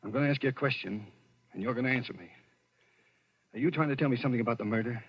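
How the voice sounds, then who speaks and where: An actor playing a driven, insistent man on the run, accused of murder, in a husky voice in 1940s radio drama style. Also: mid-range voice, racing. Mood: intense, insistent. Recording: dull lo-fi old radio recording.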